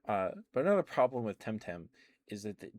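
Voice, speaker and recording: A man rambling casually in an ASMR livestream style, halting and restarting his words as he goes, close to the microphone. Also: fairly low pitch, very animated, a little mellow. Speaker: livestream host.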